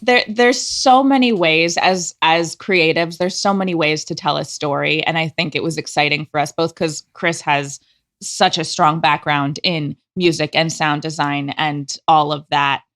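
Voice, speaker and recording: A woman's voice: sits low, fairly even intonation, unhurried, fairly bright tone. A woman speaking as a creative guest in an interview, explaining things emphatically and with enthusiasm. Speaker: guest, audio drama creator.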